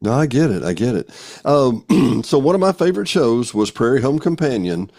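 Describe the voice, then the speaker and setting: Guy hosting a chat, regular pitched voice, really up and down, casual. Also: sing-song, moderate pace, darkish tone. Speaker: interview host.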